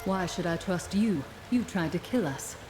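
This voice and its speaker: An actress playing a guarded, thoughtful companion in a fantasy game, composed and serious. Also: female voice, on the low side, expressive, moderate pace, over music, lightly acted. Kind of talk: dramatic fantasy game dialogue.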